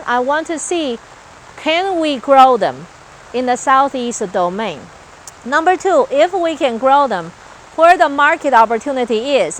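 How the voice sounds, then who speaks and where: A woman's voice: sits high, slight background noise, rough recording. A woman from Taiwan with a Taiwanese accent, an agricultural researcher explaining her farm work in an earnest, list-like way with some hesitations, speaking outdoors on a farm. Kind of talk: explanatory interview talk.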